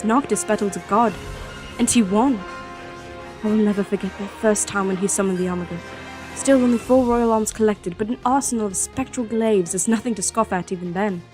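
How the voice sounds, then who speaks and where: Actress as young princess narrator for fantasy audio drama: mid-range, sincere, self-doubting, light music bed. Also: female voice, medium pitch, darkish tone, lightly acted. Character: young princess warrior, earnest, self-doubting, remembering her journey. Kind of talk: fan audio drama, first-person narration and dialogue.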